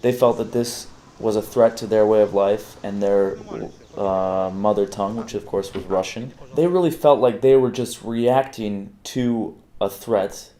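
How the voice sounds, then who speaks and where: A man's voice: lowish voice, unhurried, dark tone. A man giving a reflective documentary interview.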